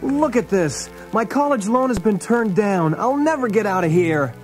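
A man's voice: high voice, lively intonation, moderate pace, faint music behind. An actor playing a restless, earnest young man frustrated with his life, in a children's storybook recording. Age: young adult. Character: restless, frustrated young trailer-park dreamer. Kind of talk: children's read-along storybook acting.

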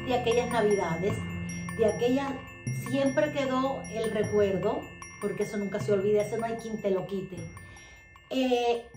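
A Cuban woman in her sixties with a Cuban Spanish accent tells personal stories straight to the camera, chatty and reminiscing, often asking her viewers questions and answering them herself. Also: fairly high pitch. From Cuba. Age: 60s. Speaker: vlogger. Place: living room. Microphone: camera across the room. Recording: echoey room with loud music behind.

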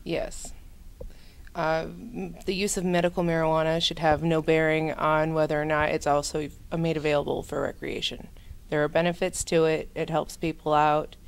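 A woman's voice: deep voice, little pitch movement, normal speed, creaky, clean tone. A woman in her twenties giving casual opinions into a handheld interview microphone indoors. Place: indoor room with brick wall. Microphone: handheld microphone held out by interviewer.

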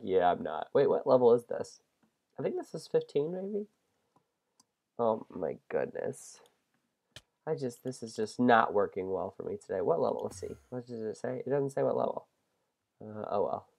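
A young man with a head cold and a congested voice, hosting a casual game let's-play, excitable and self-mocking. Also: mid-range voice, creaky, muffled recording. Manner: excitable, self-deprecating, shrieks at mistakes. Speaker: gaming channel host.

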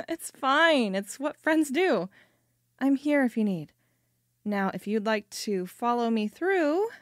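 A woman's voice: fairly high pitch, lively intonation, slow, no echo, clean studio sound. A young woman playing a sociable, insistent friend in an amateur audio drama. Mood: welcoming.

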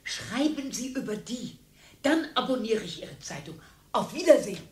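A sarcastic retiree's wife. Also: female voice, fairly high pitch, big pitch swings, normal speed, breathy edge, full character voice. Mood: dismissive. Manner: sarcastic and dismissive. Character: sharp-tongued older wife of a bored retiree. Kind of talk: radio play acting.

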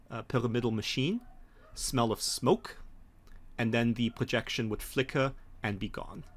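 A man's voice: medium pitch, a bit bright, a little hiss or hum. A middle-aged man narrating as game master, explaining things in hesitant phrases. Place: indoor home study, video call.

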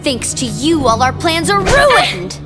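An actress playing a cruel, short-tempered young villainess in a video game, mocking and haughty. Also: female voice, sits high, very animated, slow pace, music in the background, full character voice. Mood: irritated menace. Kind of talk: video game cutscene acting.

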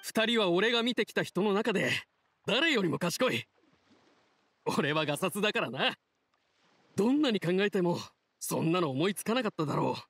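Humble young game sailor captain, high voice, very animated, sincere, plain-spoken, music behind. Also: male voice, moderate pace, gravelly, long pauses, heavily acted. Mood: humbled, grateful. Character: humble, earnest young male boat captain, simple and grateful. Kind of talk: video game dialogue acting.

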